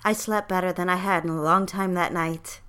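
A woman's voice: on the low side, steady tone, a little fast, pushed and bright, clean tone. A woman playing an earnest, proper young single career girl in an audio drama, speaking in old-fashioned, demure phrases. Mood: wistful, hopeful. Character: earnest twenty-four-year-old single career girl telling her diary. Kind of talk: audio drama narration and dialogue. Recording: music under the voice. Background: music.

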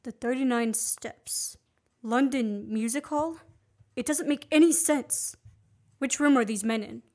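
A woman acting the part of a brisk, impatient man on the run in an amateur radio play. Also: fairly high pitch, darkish tone, natural voice. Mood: brisk, guarded. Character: Richard Hannay, wronged male fugitive, brisk and impatient. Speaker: student actor.

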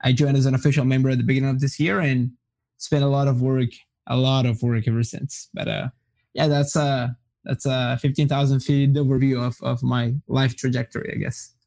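A young man from Colombia, a native Spanish speaker, answering interview questions in a relaxed way with many fillers and restarts. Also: mid-range voice, a little fast. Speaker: guest. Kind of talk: casual interview.

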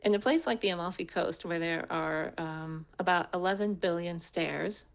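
An American woman speaks in a slightly low register with a creaky edge. She delivers a prepared personal introduction as conversational storytelling, as a co-host would. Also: moderate pace. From United States. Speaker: co-host and guest speaker telling her story.

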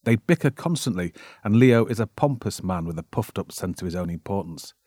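A man with a fairly low voice narrates from a script. He presents in measured sentences, telling a story to listeners. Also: expressive, dark tone, no echo, studio quality.

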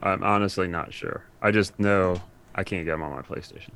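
A man's voice: sits low, a little fast, a bit bright, a little hiss or hum. A man talking as a podcast guest in a casual, conversational style. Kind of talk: casual chat about anime and games.

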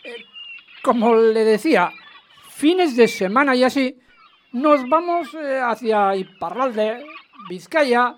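A man's voice: extremely high pitch, lively intonation, slow pace, full character voice. Man playing a chatty, spry old yachtsman. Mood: cheerful, chatty. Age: 80s. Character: spry, chatty 82-year-old widowed retired financier and yachtsman. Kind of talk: radio comedy drama, phone-in caller. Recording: faint noise, harbour gulls behind.

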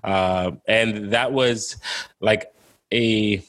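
A young adult man from Jamaica, raised in New York City, telling his story in a relaxed, casual way with many fillers. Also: fairly low pitch, extremely slow, no room sound. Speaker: guest entrepreneur.

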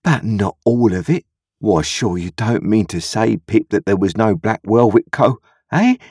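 A kindly country blacksmith as acted out by a male storybook reader, reasoning things out earnestly in homespun, ungrammatical speech. His voice is slightly rough and swings widely in pitch, recorded dry and close. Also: expressive, unhurried, a little mellow, no echo, heavily acted. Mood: amazed, pleading. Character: kindly, slow-reasoning uneducated country blacksmith, adult man.

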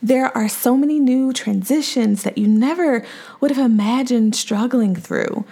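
A woman hosting her own podcast as a mom therapist and coach, talking in a soft, calm, friendly way. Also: fairly high pitch, measured pace, gravelly. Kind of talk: solo podcast monologue.

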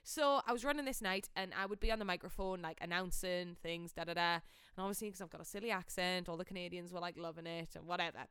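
A young woman chats as a podcast co-host, swearing freely as she tells a story. Her voice is smooth and clear, recorded dry, and her delivery is animated and blunt. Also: lowish voice, fast talker, hardly any pauses, no echo. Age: young adult. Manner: animated, blunt, salty-tongued. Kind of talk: casual storytelling chat.

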